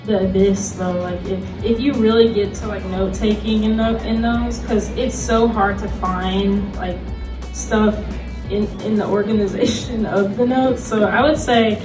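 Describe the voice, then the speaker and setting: A woman's voice: medium pitch, fairly even intonation, a little slow. Woman panelist, casual conversational delivery, loose phrasing, distant mic, echoey room, music behind. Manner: conversational, loose, filler-heavy with frequent "like" and small laughs. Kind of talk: casual, informal panel presentation.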